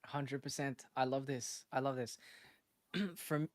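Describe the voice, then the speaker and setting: A man's voice: mid-range voice, a little slow, dry recording, clean studio sound. A man from North Africa and France hosting a casual live interview, enthusiastic and repeating phrases for emphasis. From born in North Africa, grew up in France. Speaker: live show host, startup advisor. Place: live online stream.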